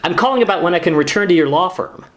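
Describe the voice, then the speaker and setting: Earnest, put-upon male junior lawyer man acting, brisk and animated, deferential straight man in a radio sitcom. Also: high voice, slight background noise. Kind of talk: sitcom-style radio play dialogue.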